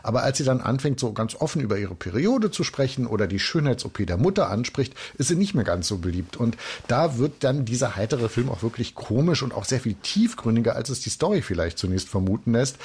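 A man talking through films as a critic in a relaxed radio conversation, with plenty of fillers. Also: medium pitch, fairly quick, hardly any pauses, husky. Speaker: film critic. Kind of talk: radio film review chat.

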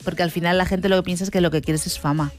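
A woman gives casting feedback in a measured, frank way, often pausing on fillers. Her voice sits a little low, and soft music plays behind her. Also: fairly even intonation. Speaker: casting panelist. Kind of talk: casual panel chat.

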